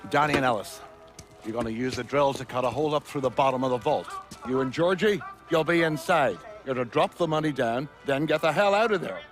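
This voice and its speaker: A man's voice: medium pitch, fairly quick, soft background music, natural voice. An actor playing a no-nonsense heist planner who gives his crew businesslike orders in a video game cutscene. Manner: instructive, businesslike.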